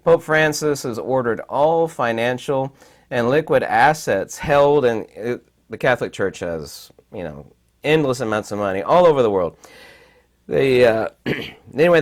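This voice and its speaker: A man with a raspy, hoarse voice while recovering from illness, giving rambling, emphatic commentary with many fillers. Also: mid-range voice. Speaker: channel host. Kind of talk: casual commentary to camera.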